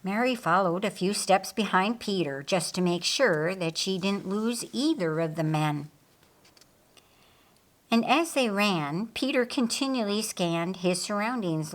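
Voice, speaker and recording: A woman's voice: medium pitch, lively intonation, a little slow. A woman reading a scripted story aloud as an audiobook narrator, with dramatic storytelling delivery.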